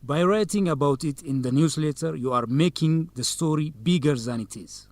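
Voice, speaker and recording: An actor playing a manipulative young student council president in a radio drama, formal, measured and insistent. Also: male voice, on the high side, darkish tone, no echo, lightly acted. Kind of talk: educational radio drama acting.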